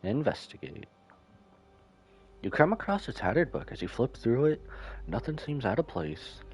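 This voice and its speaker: A man's voice: medium pitch, big pitch swings, normal speed, a little mellow, soft background music. A man giving casual, slangy live commentary as he streams a video game.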